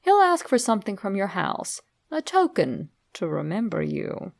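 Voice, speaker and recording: A woman reading a scripted horror story straight to the listener in a dramatic, deliberate way. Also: mid-range voice, sing-song, vocal fry. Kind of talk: scripted creepypasta narration, addressed to the listener.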